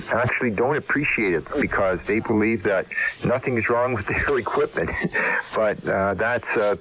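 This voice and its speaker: A man chats over amateur radio, his voice squeezed through a narrow, tinny band. He speaks off the cuff in a halting way, often searching for the next word. His pitch is middling. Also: a bit bright. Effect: heard over shortwave radio. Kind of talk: unscripted ham radio conversation.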